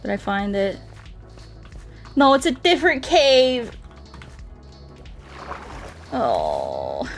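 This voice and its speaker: A woman's voice: fairly high pitch, very slow, vocal fry, long pauses. Playful woman streaming over game music. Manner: playful, self-deprecating, expressive. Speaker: livestreamer playing a game and chatting with viewers. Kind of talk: casual gameplay chat, reading out viewer comments. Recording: music playing behind the voice.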